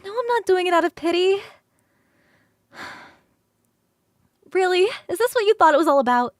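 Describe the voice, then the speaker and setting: High-voiced, affectionate succubus girl, acted by a woman. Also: dry recording, clean studio sound. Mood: earnest, flustered. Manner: coaxing, halting, expressive. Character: affectionate, playful succubus roommate, young-sounding, eager to cuddle. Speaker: streamer performing scripted roleplay. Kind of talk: ASMR roleplay, spoken to the listener.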